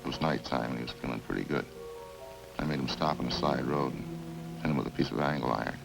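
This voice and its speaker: An actor in an old radio crime drama playing a remorseless drifter who confesses in a flat, casual, unhurried way. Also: male voice, rumbling low, creaky, heavily acted, poor audio. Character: matter-of-fact, remorseless male drifter confessing to killings. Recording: old radio recording with music behind.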